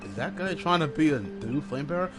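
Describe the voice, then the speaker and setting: A man's voice: a little high, lively intonation, a little fast, over loud music. A man streaming a video game, offhandly commenting and muttering to himself as he plays. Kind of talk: casual commentary over gameplay. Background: loud game audio.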